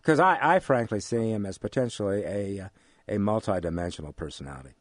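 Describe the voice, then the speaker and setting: An older American man with a fairly low voice, recorded close and dry. He answers interview questions like a college teacher, choosing formal words deliberately and pausing to find them. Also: expressive, no room sound. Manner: measured, deliberate, formal wording with hesitant pauses. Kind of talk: thoughtful studio interview. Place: radio studio.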